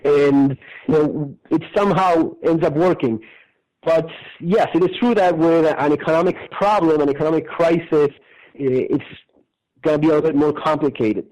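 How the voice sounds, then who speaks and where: Man, halting and thoughtful, radio. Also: a little high, muffled recording. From Argentina. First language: Spanish. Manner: thoughtful, halting, many restarts and soft laughs. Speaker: guest. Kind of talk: casual interview conversation.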